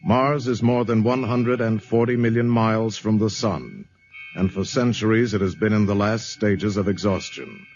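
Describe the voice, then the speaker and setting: A man narrating a 1950s radio drama in a grave, measured, ominous tone. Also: on the low side, a little mellow. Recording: muffled 1950s radio broadcast with music.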